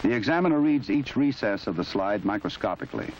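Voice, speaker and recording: Male narrator, low register, measured and exact instructional read, dated film audio with faint background noise. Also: animated. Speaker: narrator of a forensic training film. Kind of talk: formal scripted documentary narration. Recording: muffled, noisy old 1970s film soundtrack.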